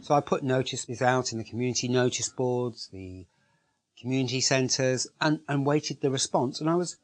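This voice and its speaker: Male organiser, mid-pitched, earnest, emphatic testimonial delivery. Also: normal speed. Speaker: project organiser. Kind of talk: interview testimonial.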